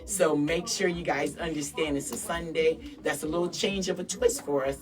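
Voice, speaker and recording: A woman's voice: deep voice, moderate pace, far from the mic. A woman hosting a round table radio talk in a studio, upbeat and promoting the station's events. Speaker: radio talk show host.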